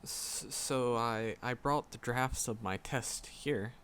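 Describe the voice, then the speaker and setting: A man's voice: medium pitch, slow pace, noisy background, close to an everyday voice. A man playing a shy, nervous magic professor in a roleplay audio drama, skittish and earnest, stammering and repeating words as he talks to the listener. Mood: shy, pleased.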